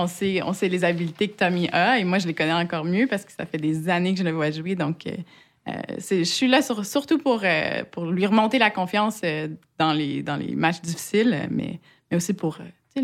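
Give me a woman with a Canadian French accent answering casually in an interview, full of pauses and fillers. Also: mid-range voice. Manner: hesitant, many fillers, bubbly laughs. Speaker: guest, basketball player's wife.

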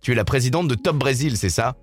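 A man hosting a local radio show, interviewing a guest in a chatty, jovial manner with quick affirmations. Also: medium pitch, soft background music. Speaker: radio host.

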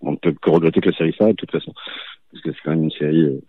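An actor raised in Toulouse, chatting in a relaxed way on the phone from his mother's home, with lots of fillers and easy agreement. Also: very deep voice, racing, no echo. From southern France, raised in Toulouse. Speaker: actor guest. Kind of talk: casual phone interview. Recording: dry, tinny phone line.

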